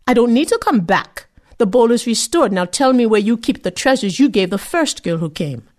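A woman doing a shrill, scolding old forest crone. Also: lively intonation, moderate pace, lightly acted. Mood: commanding. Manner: high, shrill, commanding. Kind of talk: storytelling, voiced character.

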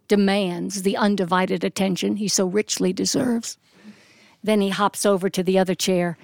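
A woman telling a story in a polished, expressive way, with deliberate descriptive phrasing and dramatic pauses. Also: mid-range voice, creaky, dry recording, clean studio sound. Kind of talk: polished live storytelling to an audience.

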